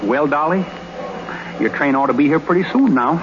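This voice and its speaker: An actor playing a bashful, tongue-tied young ballplayer in love, stammering and folksy in a 1930s radio comedy. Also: male voice, a little high, normal speed, natural voice. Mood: bashful, wistful. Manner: stammering, folksy ungrammatical speech. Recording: noisy, muffled 1930s radio broadcast.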